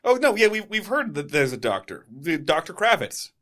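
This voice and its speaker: A man's voice: fairly high pitch, very animated, moderate pace, a bit bright. A man co-hosting a casual podcast who jokes around and acts out scenes as he retells them. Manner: jokey, acts out characters in retelling. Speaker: podcast co-host. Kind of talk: casual chat.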